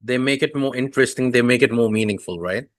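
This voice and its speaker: A man's voice: medium pitch, quick pace, no room sound, studio quality. A man whose first language is Urdu, hosting a podcast and asking long, rambling questions full of fillers. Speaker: podcast host. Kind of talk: podcast interview.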